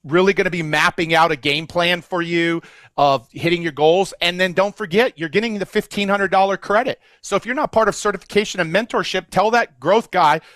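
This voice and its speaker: A man's voice: sits high, fairly quick, fairly bright tone, dry recording, studio quality. Upbeat salesy webinar host man. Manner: upbeat, salesy, runs through offer details. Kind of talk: sales pitch.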